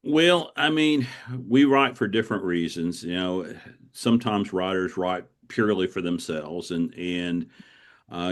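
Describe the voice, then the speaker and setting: Middle-aged male author as interview guest, low voice, conversational and reflective, with a rambling explanatory style. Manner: discursive, circling back as he explains.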